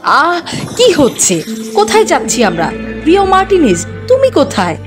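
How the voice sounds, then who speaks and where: A woman's voice: sits high, huge pitch swings, normal speed, over music, voice pushed up. A woman voicing a proud, indignant fairy queen in a cartoon fairy tale. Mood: bewildered.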